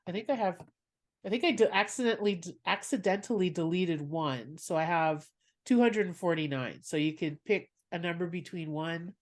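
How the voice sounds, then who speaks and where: Woman, podcast host, comedian, lowish voice, chatty, frequent laughs, rambling. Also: normal speed. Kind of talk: casual podcast chat.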